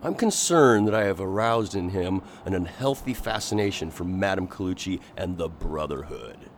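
An actor playing an earnest, agitated Victorian gentleman in a radio drama, pleading and intense. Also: deep voice, lively intonation, normal speed, slight background noise.

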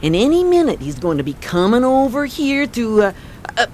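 A woman's voice: on the high side, very animated, moderate pace, a little mellow, a little hiss or hum. A woman playing a wary, brassy young streetwalker in a 1920s New York radio drama, with brusque street slang. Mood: irritated. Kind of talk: radio drama acting, 1920s period piece.

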